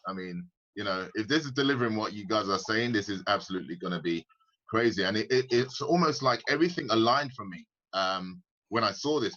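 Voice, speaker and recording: A man's voice: on the low side, sharp tone, lo-fi sound. A man from the UK with a British accent, chatting as a guest on a video call and rambling with many restarts. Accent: British English. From United Kingdom. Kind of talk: casual video-call chat.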